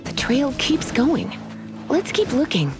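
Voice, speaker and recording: Game role: flirty, teasing female librarian, high lilting voice, coy delivery, clear music underneath. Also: huge pitch swings, heavily acted. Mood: musing, instructive. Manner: teasing, coy, drawn-out phrasing. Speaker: game voice actress. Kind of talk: video game character dialogue.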